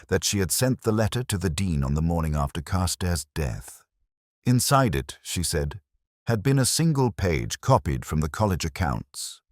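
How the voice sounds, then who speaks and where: A man with an extremely low voice narrates a detective tale in the first person. His delivery is measured and reflective, with expressive rises and falls in pitch. The sound is dry and close, free of room echo. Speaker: audio drama narrator.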